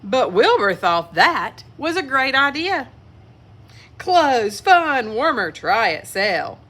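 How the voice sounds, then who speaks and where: Children's story reader, female: expressive, highish bright voice, chatty asides to little listeners. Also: takes it slowly. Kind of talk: expressive picture-book read-aloud with asides to young listeners.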